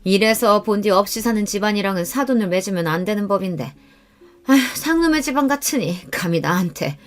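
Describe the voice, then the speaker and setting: A woman's voice: medium pitch, lively intonation, a little fast, close to an everyday voice. A woman voicing a haughty, contemptuous middle-aged in-law who orders others about in a radio story drama. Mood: indignant, contemptuous.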